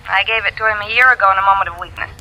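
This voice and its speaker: An actress playing a sardonic, brisk, wisecracking city woman on the telephone in a 1940s radio drama. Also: mid-range voice, steady tone, bright, forceful tone, soft background music, low-quality recording.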